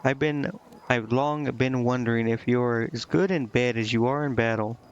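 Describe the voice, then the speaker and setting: A man's voice: mid-range voice, moderate pace, clean tone, muffled recording. A man doing casual game-stream commentary, reading bits aloud and muttering to himself in a hesitant, rambling way.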